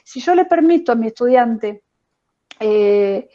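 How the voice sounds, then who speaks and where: A woman teacher answering a question on an online panel, thoughtful and pausing often with fillers. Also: a little high, expressive, highs cut off. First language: not French. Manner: thoughtful, many hesitations and fillers.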